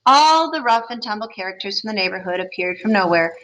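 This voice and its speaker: A woman teacher reading a picture book aloud, expressive, with chatty asides to her listeners. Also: mid-range voice, a little fast, a little hiss or hum, poor audio. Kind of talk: storybook read-aloud with comments.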